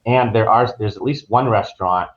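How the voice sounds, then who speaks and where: A man's voice: fairly low pitch, moderate pace, dull audio. A man giving casual, easygoing, anecdotal answers as an interview guest. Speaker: travel agent, interview guest.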